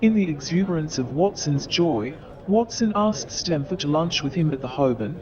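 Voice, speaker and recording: A man narrating a scripted story as in a staged radio play, with dramatic, deliberate phrasing. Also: fairly high pitch, animated, muffled recording. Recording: crowd sound behind the voice.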